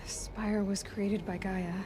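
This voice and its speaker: Ambient noise, actress as young female warrior, earnest, determined, hushed halting delivery, video game drama. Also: medium pitch, flat delivery, close to an everyday voice. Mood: grave, explaining. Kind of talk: cinematic video game acting.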